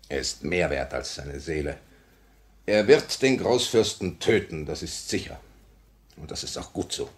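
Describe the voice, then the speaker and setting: A low male voice with wide, sweeping intonation, a revolutionary hardened by prison and full of hatred. He declaims fiercely and intensely, in the theatrical style of 1950s German radio plays. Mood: grim conviction.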